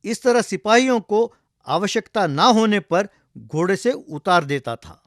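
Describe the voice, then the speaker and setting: A man reads a scripted comic folktale as narrator. His voice is high for a male speaker. The recording is close and dry, with no room sound. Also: lively intonation, unhurried, dry recording. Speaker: storyteller.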